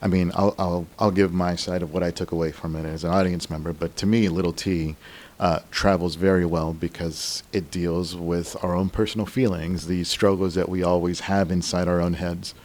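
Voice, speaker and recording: A man's voice: low-pitched, fairly even intonation, moderate pace, a little mellow. A man co-hosting a show and interviewing a guest in a casual, conversational way.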